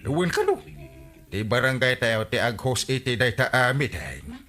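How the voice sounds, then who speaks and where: Comedy radio village captain: Ilocano accent, wildly swooping delivery, forceful bright voice, bossy and scolding. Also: male voice, medium pitch, sing-song, normal speed, vocal fry, exaggerated voice. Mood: self-important. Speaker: radio drama actor. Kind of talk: Filipino radio comedy drama acting.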